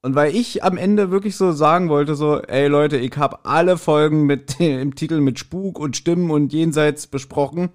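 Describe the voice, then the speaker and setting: A man talks as a podcast co-host. He is chatty and jokey, rambling along in a relaxed conversational style. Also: on the high side, moderate pace, few pauses. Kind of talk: casual podcast chat.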